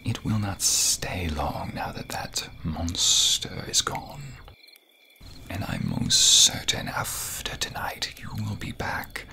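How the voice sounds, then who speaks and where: A man voicing a gentle, grateful snake-man guardian in an ASMR roleplay, speaking in a breathy whisper with formal, archaic phrasing. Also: low-pitched, huge pitch swings, slow, heavily acted. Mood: reassuring.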